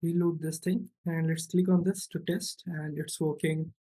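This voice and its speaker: A man's voice: fairly high pitch, little pitch movement, normal speed, mellow. A man narrating an informal step-by-step screencast as a programming instructor, often checking in with "right" or "okay".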